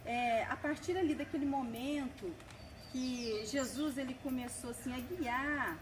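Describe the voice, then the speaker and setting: A woman's voice: sits high, measured pace, distant mic, noisy background. A woman co-interviewing at a church event, rephrasing her questions with hesitant fillers.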